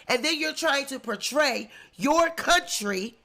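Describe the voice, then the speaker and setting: A woman's voice: high voice, lively intonation, slow pace, pushed and bright. A congested woman with the flu giving indignant, emphatic celebrity commentary in a vlog. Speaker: commentary vlogger.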